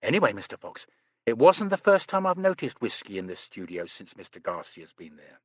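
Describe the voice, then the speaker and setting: A man's voice: fairly low pitch, very animated, fairly quick, no room sound, voice pushed down. A male narrator voicing a nervous, chatty young English housemaid who is prim and says sir constantly. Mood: earnest.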